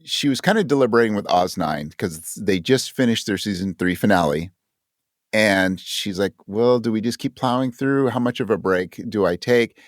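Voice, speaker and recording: A man's voice: lowish voice, moderate pace, no room sound, studio quality. A man speaking as a podcast guest in a casual interview, earnest and emphatic, often acting out imagined remarks. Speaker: podcast guest and audio drama creator.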